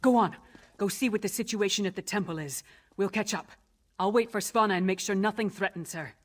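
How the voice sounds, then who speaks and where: Woman acting a husky, direct warrior companion. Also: medium pitch, brisk, close to an everyday voice. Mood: protective. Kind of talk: fantasy video game voice acting.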